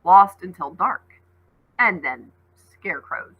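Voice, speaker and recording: A woman voicing a menacing giant wolf beast from a storybook, with a hoarse, breathy growl and slow, stealthy delivery. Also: lowish voice, animated, lo-fi sound, heavily acted. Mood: ominous.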